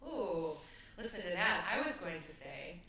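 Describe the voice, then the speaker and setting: Low-voiced woman in an echoey podcast recording. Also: very animated, measured pace, bright, forceful tone. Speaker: podcast co-host. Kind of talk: casual podcast conversation, prompting with questions. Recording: echoey, distant from mic, faint noise.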